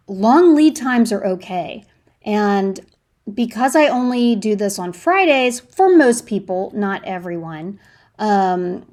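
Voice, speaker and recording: Woman podcaster talking casually. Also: mid-range voice. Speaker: podcast host giving practical advice. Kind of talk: casual solo podcast talk with personal anecdotes.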